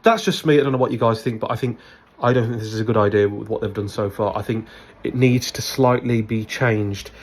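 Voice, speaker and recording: A man giving a hands-on spoken product review in a hesitant, repetitive, measured but opinionated way. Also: fairly low pitch. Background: background noise and a radio.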